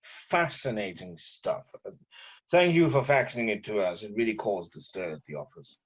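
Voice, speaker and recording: A man's voice: fairly low pitch, very animated, normal speed, slightly rough, lo-fi sound. A man playing a jaded, sarcastic newspaper journalist in an amateur script table read, with theatrical delivery. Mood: bored sarcasm. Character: sardonic, jaded male newspaper journalist, drunk when young.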